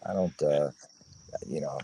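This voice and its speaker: A man joining a livestream chat as a guest over his phone, earnest and prone to repeating his points with halting restarts. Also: a bass voice, gravelly, warm tone, a little hiss or hum, poor audio. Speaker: guest, fellow show host.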